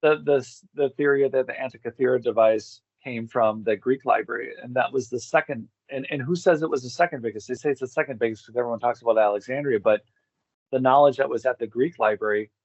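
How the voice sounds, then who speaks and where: A man in a casual speculative discussion, theorizing in a rambling, animated way. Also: medium pitch, fast talker, dry recording, highs cut off.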